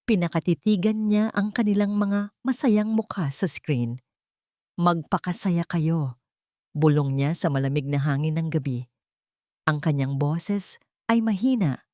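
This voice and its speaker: Woman storyteller, lowish voice, dramatic scripted narration in short deliberate phrases, very dry sound. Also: mellow, no room sound.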